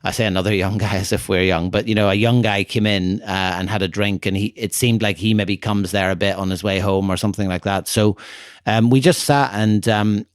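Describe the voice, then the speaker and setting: A man's voice: on the low side, fairly even intonation, brisk, smooth, dry recording, studio quality. A man co-hosting a casual podcast chat, talking in a rambling, hesitant way with lots of fillers and restarts. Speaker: co-host, photographer.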